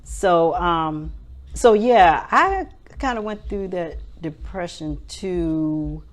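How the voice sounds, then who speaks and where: A woman's voice: on the low side, expressive, slow. A woman in her fifties hosting a casual interview before a studio audience, often murmuring agreement. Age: 50s. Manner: affirming, frequent backchannel responses. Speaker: host.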